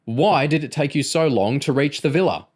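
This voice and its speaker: A man's voice: normal speed, darkish tone, lightly acted. Guy doing a big mean instructor in an audiobook, bawling, sarcastic, taunting everyone. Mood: bawling, sneering. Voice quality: booming, bawling. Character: huge, bullying male training instructor, loud and mocking. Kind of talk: audiobook character voice.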